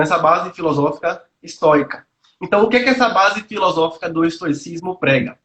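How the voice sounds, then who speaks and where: A man's voice: high voice, fairly bright tone. A young man from Salvador, Brazil, a nutrition student explaining his views earnestly on a video call, thinking aloud with frequent restarts and fillers. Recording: roomy echoey call audio.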